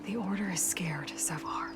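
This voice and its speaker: Game lead, female actor: steady young huntress, slightly low register, compassionate but blunt, score playing underneath. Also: normal speed, lightly acted. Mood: wry concern. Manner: frank, reasoning, understated. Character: pragmatic, steady young heroine, wry and compassionate warrior. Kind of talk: video game cutscene acting. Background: music.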